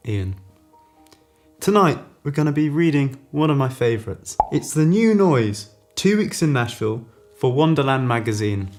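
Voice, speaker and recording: A man reading aloud as a host in a mock bedtime-story style, with a deliberate, theatrical storytelling delivery. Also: mid-range voice.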